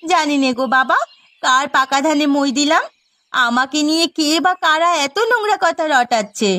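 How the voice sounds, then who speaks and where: A woman's voice: high voice, normal speed, sharp tone, no echo. A woman playing a dutiful, caring young village daughter in a cartoon, earnest and resolute in a melodramatic style. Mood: indignant. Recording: music behind the voice.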